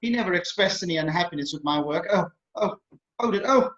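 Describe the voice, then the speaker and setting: A man's voice: high voice, expressive, brisk, fairly bright tone. A man acts an anxious protocol droid in an amateur sci-fi table read. The manner is prim, formal and fretful. The audio is dull, like a video call. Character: fussy, anxious, polite male protocol droid.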